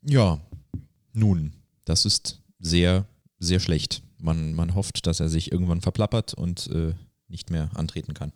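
A man's voice: deep voice, fairly even intonation, unhurried, darkish tone, no echo, studio quality. A man co-hosting a podcast in a casual, chatty way. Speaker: podcast co-host.

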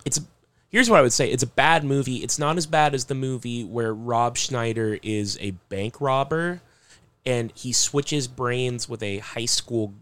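A man co-hosting a loose comedy podcast, telling stories in a halting, rambling way. He restarts his sentences often and fills the gaps with ums and likes. His pitch sits in a middle range for a man. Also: fairly bright tone. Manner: halting, many restarts and fillers. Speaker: podcast co-host.

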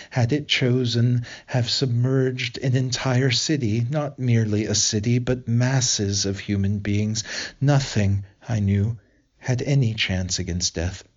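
A man reads literary prose at a slow pace. His delivery is deliberate, with pauses that set each phrase apart. The recording is a little dull and muffled. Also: mid-range voice. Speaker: reader of a literary essay. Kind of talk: literary essay reading.

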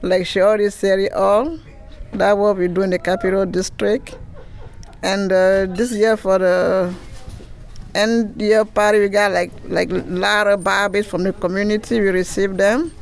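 Woman, hesitant interviewee, average pitch. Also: flat delivery, unhurried, vocal fry. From Cameroon. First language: French. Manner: hesitant, simple phrasing, laughs between answers. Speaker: association treasurer. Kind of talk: casual interview answers.

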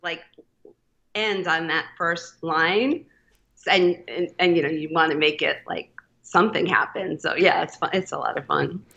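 A woman's voice: low voice, a little slow, creaky, pushed and bright, lo-fi sound. A woman poet reading her work aloud, then chatting casually about writing with frequent fillers and laughs. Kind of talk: reading a poem, then casual interview talk.

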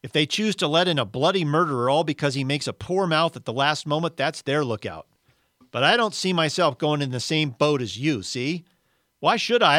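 Man acting a blustering aggrieved ghost, pitched up. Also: pushed and bright, no echo, clean studio sound. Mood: scornful, stubborn. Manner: blustering, argumentative, rough colloquial grammar. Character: indignant, aggrieved working-class male ghost demanding his rights.

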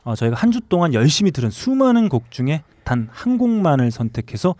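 A man's voice: a little high, sing-song, fast talker, mellow, no room sound. A man hosting a music podcast, bantering with his co-hosts.

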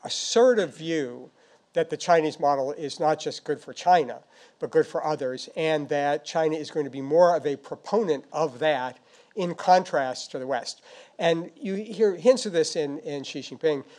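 A man answering questions as a foreign policy expert, speaking off the cuff in a halting, thoughtful, hedging way. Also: on the high side, darkish tone. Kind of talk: unscripted answers at a policy discussion.

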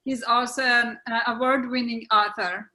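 A woman with a bright, forward voice pitched a little high. She talks casually as a playwright in a group discussion. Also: steady tone, moderate pace. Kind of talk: casual chat.

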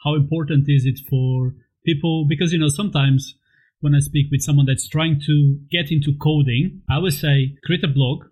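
A man's voice: a little high, moderate pace, low-quality recording. A man from Portugal speaking English with a Portuguese accent, hosting a casual interview with easy laughs and short encouraging replies. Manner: relaxed, laughs easily, brief encouraging replies.

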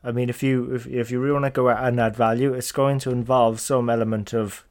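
A man's voice: medium pitch, little pitch movement, a little fast, a little mellow. A man hosting a podcast interview, affirming and conversational, with loose phrasing. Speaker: podcast host.

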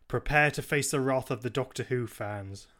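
A man's voice: mid-range voice, takes it slowly, bright, forceful tone. A man co-hosting a podcast, jokey and self-mocking as he reads lines in a script read-through. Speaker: podcast host.

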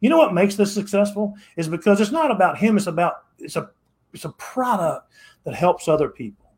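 A man in his sixties speaks as a podcast guest in a fairly high voice. He sounds preachy and repeats himself, often restarting his phrases as he hammers his point home. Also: big pitch swings, fairly quick, darkish tone. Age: 60s. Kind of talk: motivational interview talk.

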